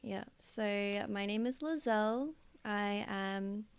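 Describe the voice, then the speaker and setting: An Australian woman in her thirties chats casually as a podcast co-host, with a lot of fillers and easy laughter. Her voice is smooth and resonant, but there is a gravelly fry at the ends of her phrases. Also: mid-range voice, slow pace, no echo. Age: 30s. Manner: chatty, laughs easily, many fillers. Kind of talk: casual chat.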